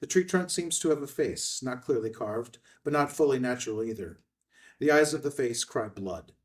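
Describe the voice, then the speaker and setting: A man's voice: mid-range voice, a little mellow. A man reading stage directions aloud as the narrator of a screenplay table read. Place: indoor room at a filmed table read. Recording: slightly distant room microphone.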